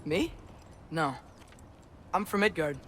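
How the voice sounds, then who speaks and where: An actor playing an earnest, hesitant teenage boy apprentice in a video game, speaking in a halting, understated way. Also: on the high side, a little hiss or hum. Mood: hesitant, determined. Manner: halting, understated, a little unsure. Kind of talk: naturalistic video game acting. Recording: music behind the voice.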